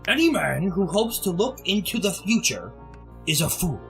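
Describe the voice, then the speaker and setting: Man playing a creaky, pompous old club elder. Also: high voice, big pitch swings, normal speed, soft background music, heavily acted. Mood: scornful. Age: older. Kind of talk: retro radio drama acting.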